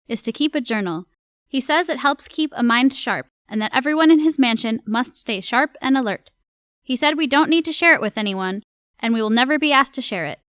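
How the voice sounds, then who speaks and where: A woman's voice: on the high side, a bit bright, clean tone, no room sound, studio quality. A woman playing a dutiful, nervous housemaid confiding in her journal in an audio drama, speaking earnestly. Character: dutiful, nervous young housemaid at a grand mansion.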